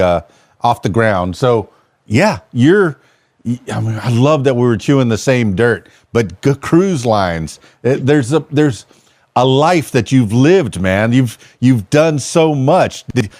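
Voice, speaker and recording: A man's voice: medium pitch, darkish tone, no echo. A man in his fifties from South Florida hosting an interview, chatty and joking, thinking aloud with lots of fillers. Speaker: podcast host. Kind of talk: casual interview.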